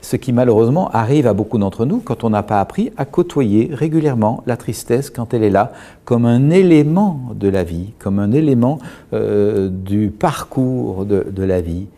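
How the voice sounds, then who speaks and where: A middle-aged man, speaking as a guest in a calm, measured, reflective way, pausing often and restarting his sentences. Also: medium pitch. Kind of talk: reflective explanatory talk.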